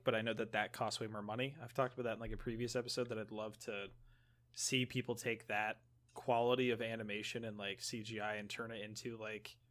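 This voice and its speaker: A man's voice: mid-range voice, a bit bright. A man co-hosting a podcast, chatting casually in an easygoing way. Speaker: podcast co-host. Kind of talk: casual chat.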